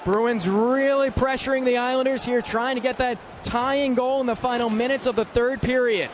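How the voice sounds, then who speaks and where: A man calling live ice hockey play-by-play on the radio in an urgent, clipped, excitable manner. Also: extremely high pitch, normal speed. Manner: excitable, urgent, clipped running description of action. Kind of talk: live sports play-by-play commentary. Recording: radio broadcast with loud arena noise. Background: loud ambience of a hockey arena.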